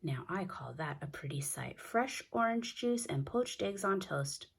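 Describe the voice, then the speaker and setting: A woman's voice: on the low side, expressive, a little slow, vocal fry, slight background noise. A woman voicing a genial, food-loving father in a children's storybook. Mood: pleased. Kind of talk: children's storybook read-aloud.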